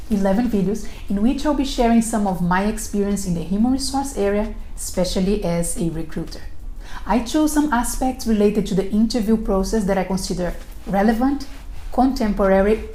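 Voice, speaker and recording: A middle-aged woman with a non-native English accent, presenting a prepared video introduction in careful, deliberate phrasing. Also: mid-range voice, warm tone. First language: not English. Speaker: recruiter presenting a video series. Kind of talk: prepared self-introduction to camera. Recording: roomy echo, faint background noise.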